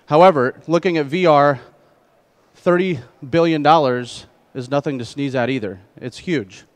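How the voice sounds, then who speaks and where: Higher-pitched man giving a keynote. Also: clean tone. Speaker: keynote speaker, trade association president. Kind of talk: conference keynote presentation with slides. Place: conference stage indoors. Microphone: headset.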